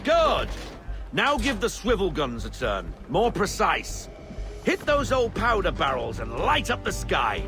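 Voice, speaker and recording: An actor playing a hearty, gruff middle-aged naval sailor who talks in salty period seaman's slang in a video game. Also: high voice, huge pitch swings, measured pace, over music, heavily acted. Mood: commanding. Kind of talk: dramatic video game acting.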